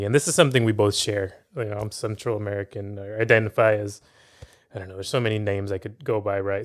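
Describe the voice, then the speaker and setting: Low-voiced man asking a long question. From San Francisco. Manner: thoughtful, circling with many fillers and rephrasings. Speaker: interviewer, podcast host. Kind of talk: conversational interview question.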